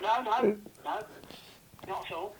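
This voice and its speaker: A man's voice: high-pitched, measured pace. A man on a phone call, sounding incredulous as he objects to what he is told. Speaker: prank call victim. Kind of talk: phone conversation. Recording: phone line with voices behind.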